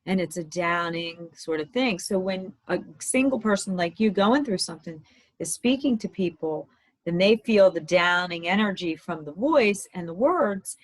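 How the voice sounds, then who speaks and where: A woman dating coach with a slightly hoarse voice, giving upbeat advice in conversation. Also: mid-range voice. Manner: upbeat, advising. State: slightly hoarse from talking all day.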